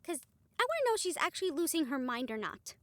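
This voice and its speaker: A woman's voice: high-pitched, sing-song, fast talker, fairly bright tone, dry recording. A woman playing a concerned, exasperated young woman in an audio drama, casual, emphatic and affectionate. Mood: pressing concern. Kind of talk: audio roleplay drama acting.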